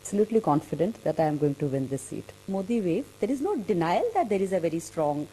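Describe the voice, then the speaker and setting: Woman, Indian accent, interview answer, hesitant, dark soft tone, lively intonation, faint hum. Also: on the low side, fast talker, poor audio. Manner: hesitant, reworking phrases mid-sentence. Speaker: political candidate.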